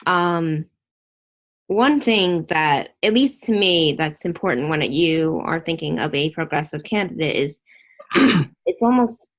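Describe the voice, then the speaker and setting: A woman's voice: sits low, lively intonation, unhurried. A woman hosting a casual online interview, chatty and opinionated, rambling with restarts.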